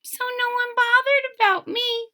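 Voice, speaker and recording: A woman's voice: extremely high pitch, fairly even intonation, a little slow, pushed and bright, voice pushed up, heavily acted. A woman voicing a tiny, homely, modest little kitten in a storybook read-aloud, speaking meekly. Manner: meek, self-effacing.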